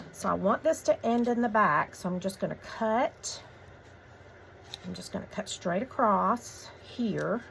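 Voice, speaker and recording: Woman, slightly croaky from a cold, chatty craft tutorial host, easygoing step-by-step instructions, mid pitch, faint background noise. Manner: relaxed, chatty instructions with fillers.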